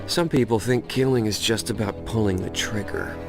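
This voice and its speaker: Young assassin antihero for game narration: cynical, cocky, crude, fry-edged delivery, music playing loud underneath. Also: male voice, fairly low pitch, normal speed. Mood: cool, menacing. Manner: sardonic, crude, direct address to the listener. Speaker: narrator and protagonist. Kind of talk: scripted video game narration.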